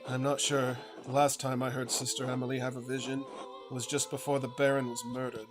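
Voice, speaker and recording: A man's voice: mid-range voice, flat delivery, fairly quick, over music, natural voice. A man voicing a weary, troubled master artist in a story game, with an earnest, grave delivery. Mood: grave, pensive.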